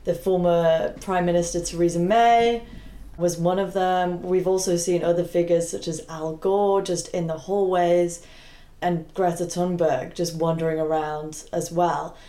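Low, mellow woman in an echoey room. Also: fairly even intonation, unhurried. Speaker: reporter, podcast panelist. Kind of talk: casual chat, recounting impressions. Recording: echoey, roomy sound.

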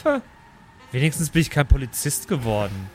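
A man's voice: mid-range voice, very animated, normal speed, faint music behind, natural voice. A man playing a sulky young rookie superhero in an improvised game, grumbling in slang. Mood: sulky, defiant.

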